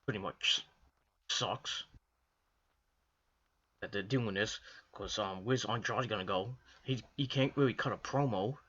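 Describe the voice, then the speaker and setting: Hoarse man rambling about wrestling. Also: on the low side, measured pace, long pauses, bright, forceful tone, muffled recording. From Northeastern US. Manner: rambling, repetitive, frequent restarts and fillers. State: hoarse, coming down with a cold. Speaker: wrestling video reviewer. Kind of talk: casual solo commentary to camera.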